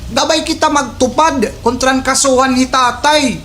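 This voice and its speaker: A woman's voice: high voice, normal speed, a bit bright, a little hiss or hum. A woman voicing a cheeky young son in a slapstick radio comedy, with a Waray accent. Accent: Waray, Eastern Visayas Philippines.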